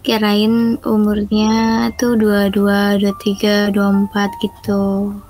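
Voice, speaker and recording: A teenage girl answering briefly and softly on a late-night video call. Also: mid-range voice, slow, soft background music. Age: teens. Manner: soft-spoken, short flat replies. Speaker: video chat stranger. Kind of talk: shy casual video-call chat. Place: small room at night. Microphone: webcam video call.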